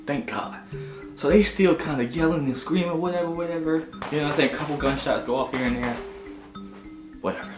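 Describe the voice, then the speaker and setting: Young man telling stories in a roomy space. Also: a little high. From Augusta, United States. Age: 20s. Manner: animated, slangy, punctuated with "you know what I'm saying". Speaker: storytelling vlogger. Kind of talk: casual personal storytelling. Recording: roomy echo with music behind. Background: background music.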